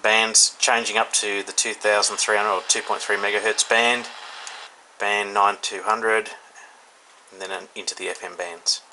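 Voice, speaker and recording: Bright-voiced Australian man reviewing gear casually. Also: fairly low pitch, flat delivery, a little slow, rough recording. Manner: informal, hesitant, thinking aloud while demonstrating. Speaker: hobbyist radio reviewer. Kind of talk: casual unscripted product review. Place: indoors, in a room. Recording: clear background noise, indoor home recording.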